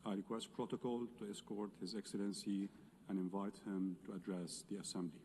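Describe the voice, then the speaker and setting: A man's voice: on the low side, flat delivery, brisk, a little mellow, slight background noise. A man presiding over an assembly, making formal procedural announcements. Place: large assembly hall.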